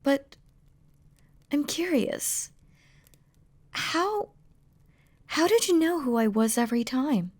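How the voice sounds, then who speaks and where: A woman's voice: high-pitched, big pitch swings, slow pace, long pauses, full character voice. A woman playing a doting, playful demon queen in an intimate romantic audio roleplay, speaking tenderly and teasingly in formal, regal phrasing. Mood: curious, teasing.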